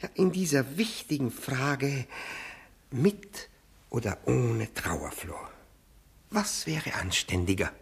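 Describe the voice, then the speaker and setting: A man's voice: mid-range voice, expressive, slow pace, husky, heavily acted. An actor playing a fawning, self-abasing widower in a 1960s German radio play, hesitant and stammering as he pleads. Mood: anxious, fussy. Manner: hesitant, obsequious, stammering repetitions.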